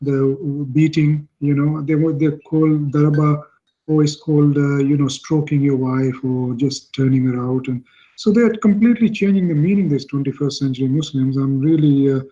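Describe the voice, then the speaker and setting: A man's voice: a little high, fairly even intonation, normal speed, mellow, lo-fi sound. A male caller on an internet call, speaking hesitantly with many fillers. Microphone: call over the internet.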